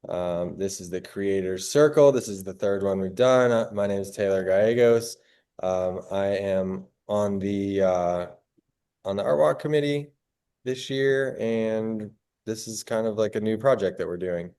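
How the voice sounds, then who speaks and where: A man's voice: on the low side, unhurried, warm tone, clean tone. A man from Colorado hosting a casual artists' meetup, talking hesitantly with many fillers. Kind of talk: casual group introduction.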